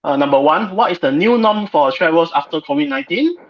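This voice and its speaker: A man's voice: on the high side, expressive, fairly quick. A man moderating an informal online panel discussion as host, on a muffled video call.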